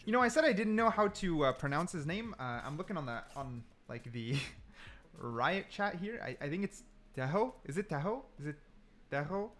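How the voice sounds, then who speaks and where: A man's voice: fairly high pitch, big pitch swings, moderate pace, fairly bright tone. A man giving casual gameplay commentary, explaining things and repeating points for emphasis. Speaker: gaming video creator.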